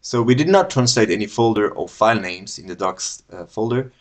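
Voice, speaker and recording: A man's voice: on the low side, lively intonation, brisk. A man in his thirties from France with a French accent, giving a recorded conference talk from home and explaining things step by step with fillers and restarts. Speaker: conference speaker. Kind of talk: recorded tech talk with screen walkthrough. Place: home room, indoors.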